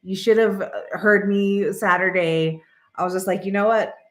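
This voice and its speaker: A woman's voice: mid-range voice, fairly even intonation, unhurried, roomy sound. Congested, nasal woman in her thirties. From American. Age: 30s. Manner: chatty, rambling, frequent throat clearing. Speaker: channel host. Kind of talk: casual vlog chat.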